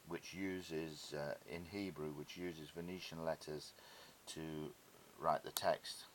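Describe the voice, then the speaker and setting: A man whose first language is Greek gives an explanatory lecture as a presenter, speaking in a halting, deliberate way with pauses mid-phrase, on a hissy recording. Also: extremely low pitch. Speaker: presenter of an educational video. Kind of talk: explanatory lecture with visual aids. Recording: hissy recording with steady background noise.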